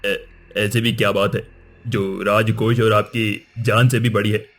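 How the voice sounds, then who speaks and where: Low, even-voiced stern king played by a man. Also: a little slow. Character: stern, dignified king and father, middle-aged. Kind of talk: Hindi cartoon moral-story dialogue.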